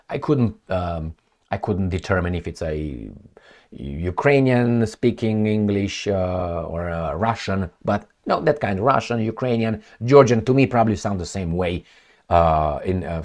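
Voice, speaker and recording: A man's voice: fairly low pitch, darkish tone. A man from Romania, giving rambling, sarcastic solo commentary on news reports, full of fillers and restarts. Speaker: political commentator. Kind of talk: casual solo commentary reading news aloud.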